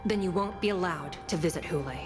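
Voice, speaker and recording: A woman's voice: fairly low pitch, music in the background, lightly acted. Actress as solemn, formal woman prison official, reverberant. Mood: firm. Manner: formal, measured, solemn recitation. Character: stern, formal female prison official and guide.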